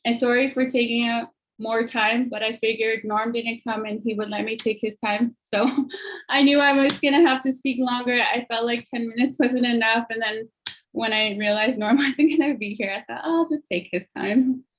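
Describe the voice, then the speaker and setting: A woman's voice: on the high side, fairly even intonation, normal speed, lo-fi sound, a little hiss or hum. Earnest woman speaking on a video call. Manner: earnest, conversational, with restarts. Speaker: guest speaker. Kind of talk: personal testimony at an online panel.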